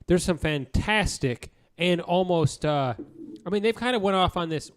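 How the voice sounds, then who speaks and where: Slightly high-voiced male podcast host. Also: expressive, moderate pace, smooth. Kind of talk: casual podcast chat.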